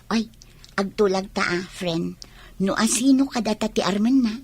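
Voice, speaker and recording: A woman's voice: medium pitch, normal speed, darkish tone, husky. A woman with a Filipino accent playing a brash, scheming young woman who complains to her friend in a radio drama. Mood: scheming, sly. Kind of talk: Ilocano radio drama acting.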